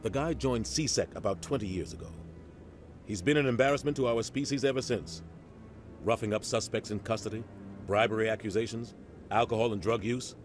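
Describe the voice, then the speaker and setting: Man acting a low-voiced, seasoned military officer. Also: fairly quick, soft background music, lightly acted. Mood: pragmatic, firm. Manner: matter-of-fact, authoritative explaining. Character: seasoned, pragmatic male military officer and political advisor. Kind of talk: measured video game dialogue.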